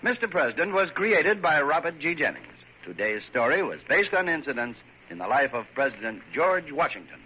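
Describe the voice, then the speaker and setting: A clearly noisy, dull old radio recording of a man. The announcer reads credits in a higher voice with animated intonation. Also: a little fast.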